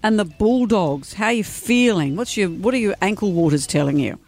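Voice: medium pitch, animated, moderate pace, a little mellow. Playful radio co-host. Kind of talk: casual radio chat.

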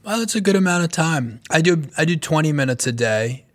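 A man's voice: fairly high pitch, lively intonation, brisk. A man hosting an interview who asks questions in an easygoing way, with small restarts. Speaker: podcast host.

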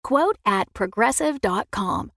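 A woman's voice: mid-range voice, lively intonation, takes it slowly, vocal fry, fairly bright tone, dry recording, studio quality. A woman reading a scripted advertisement as an announcer. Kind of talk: scripted ad read.

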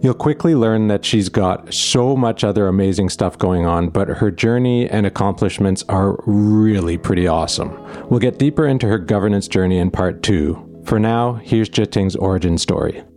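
A man's voice: lowish voice, moderate pace, a little mellow, soft background music. A man in his forties hosting a podcast, introducing his guest with an enthusiastic, informal delivery. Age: 40s. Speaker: podcast host.

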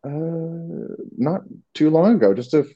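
A man's voice: on the high side, unhurried, mellow, muffled recording. A man from Canada with a Canadian accent, answering interview questions casually and hesitantly, with many restarts. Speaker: creative director, guest.

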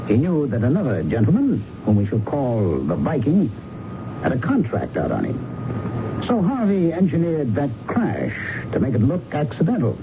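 An actor playing a wry, weary police investigator in American radio drama style. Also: male voice, medium pitch, wildly swooping pitch, moderate pace. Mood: wry, commanding. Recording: muffled, noisy old radio broadcast.

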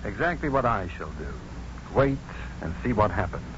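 A man's voice: fairly low pitch, sing-song, normal speed. A male actor playing a wry, unruffled, affectionate doctor in a 1950s radio drama, dry and teasing. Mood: calm, genial. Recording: muffled, noisy 1950s radio recording.

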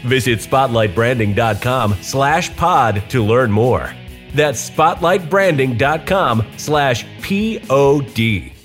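A man's voice: medium pitch, animated, takes it slowly. Male announcer reading a sponsor spot. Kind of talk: scripted sponsor read. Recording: voice over faint background music.

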